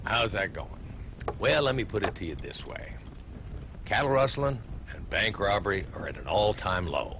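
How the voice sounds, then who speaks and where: A wry, weary frontier marshal. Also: male voice, lowish voice, big pitch swings, moderate pace, noisy background. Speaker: town marshal.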